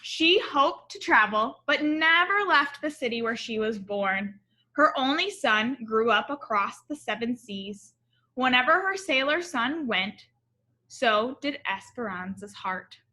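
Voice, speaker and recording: A woman voicing a loving father who tells family stories in a storybook, with gentle, measured delivery. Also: on the high side, lively intonation, exaggerated voice. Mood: fond, reflective.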